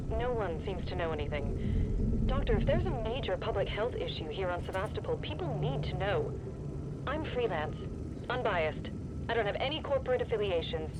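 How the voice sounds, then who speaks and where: A woman's voice: fairly low pitch, lightly acted. A woman playing a persuasive, probing freelance journalist leaving a recorded message in a video game. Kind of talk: recorded phone-style message, game acting. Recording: muffled lo-fi message, loud ambient noise.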